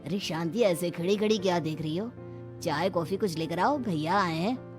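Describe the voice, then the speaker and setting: A woman's voice: fairly low pitch, normal speed, close to an everyday voice. Woman playing a bossy cartoon husband, curt tone, faint music. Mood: impatient. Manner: curt, ordering. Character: impatient, demanding middle-aged husband.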